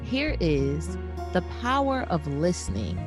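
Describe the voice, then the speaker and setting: A woman's voice: on the low side, wildly swooping pitch, takes it slowly. Woman podcast host with music behind her. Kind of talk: scripted introduction and interview hosting.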